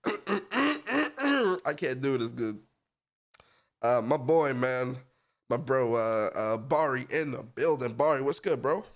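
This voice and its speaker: A young man with a stuffy, congested voice from a cold, co-hosting a casual gaming podcast in a laid-back, slangy way. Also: mid-range voice. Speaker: podcast co-host. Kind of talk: casual chat.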